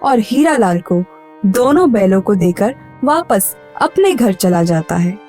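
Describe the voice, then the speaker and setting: A woman's voice: mid-range voice, very animated, a little slow, music in the background. A woman narrating a scripted moral story for a cartoon.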